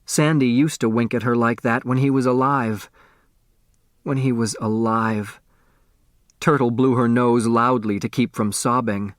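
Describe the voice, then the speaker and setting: A man's voice: mid-range voice, fairly even intonation, a little slow, no echo, clean studio sound. A man reading a children's mystery novel as scripted narration. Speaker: audiobook narrator.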